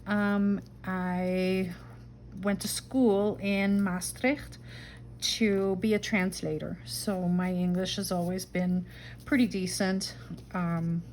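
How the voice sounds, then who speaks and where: A middle-aged woman with a Dutch accent talks through her crafting at an unhurried pace, musing aloud and slipping into soft murmurs. Her voice sits at an ordinary middle pitch. A faint hiss of room noise stays under her voice. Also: flat delivery, low-quality recording. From Netherlands. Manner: chatty, talks to herself while working, soft murmurs and whispers. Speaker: junk journal crafter. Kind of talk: casual craft-along narration.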